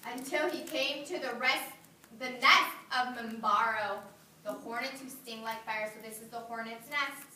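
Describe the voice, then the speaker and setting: A woman's voice: a little high, fairly even intonation, measured pace, pushed and bright, a little hiss or hum. A woman teacher reading a story aloud to a kindergarten class, praising and coaxing the children with lots of questions. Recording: roomy classroom audio from a distance.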